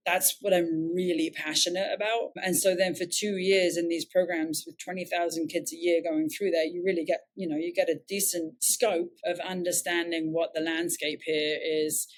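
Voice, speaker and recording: A woman's voice: on the low side, level tone, normal speed, few pauses. A woman with an English accent, a nonprofit founder telling her story in an interview, reflective and earnest, often correcting herself mid-sentence.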